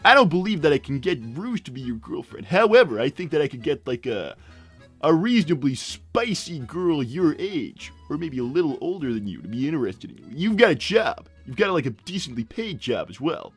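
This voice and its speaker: A man voicing a brash middle-aged hedgehog dad in improvised livestream comedy, rambling and blunt, with halting tangents. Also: a little high, big pitch swings, slightly rough, faint music behind. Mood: smug, fatherly. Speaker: character host reading viewer messages.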